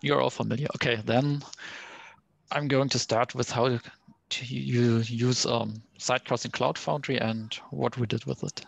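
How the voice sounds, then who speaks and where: A man giving an explanatory tech presentation on an online call, in a careful, halting way. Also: mid-range voice, fairly even intonation, creaky, no room sound. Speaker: guest presenter, software developer. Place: online conference call with screen share.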